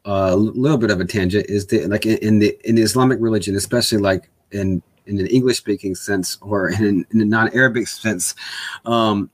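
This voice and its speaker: A man's voice: fairly low pitch, steady tone, brisk. A man in casual conversation, speaking hesitantly with many fillers and restarts.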